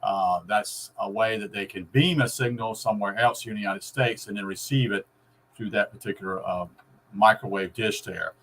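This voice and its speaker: A man giving a casual, rambling explanation to viewers in a halting, folksy way. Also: on the low side, fairly even intonation, fairly bright tone. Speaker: vlogger explaining radio.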